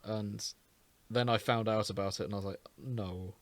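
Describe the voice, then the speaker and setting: A man's voice: lowish voice, fairly even intonation, normal speed, dry recording. A man co-hosting a podcast in casual comedic banter, with an irreverent, crude sense of humour. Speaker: podcast co-host.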